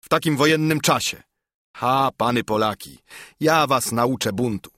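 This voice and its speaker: A haughty, greedy army major from a storybook, acted out by a male reader. He blusters and sneers with angry menace. His voice swings widely in pitch, close and dry. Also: sing-song, unhurried, fairly bright tone, no room sound. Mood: furious.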